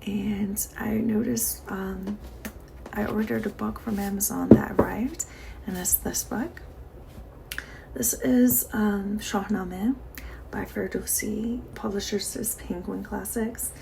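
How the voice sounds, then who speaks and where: Soft-spoken woman with vocal fry. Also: medium pitch, fairly even intonation, takes it slowly, noisy background. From Iran. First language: Persian. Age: 40s. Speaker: vlogger. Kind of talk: casual diary chat to camera.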